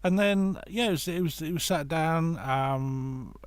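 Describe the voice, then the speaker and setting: A man's voice: fairly high pitch, measured pace, clear, resonant tone, dry recording. A British man chatting casually as a podcast guest, telling an anecdote in a rambling, self-interrupting way.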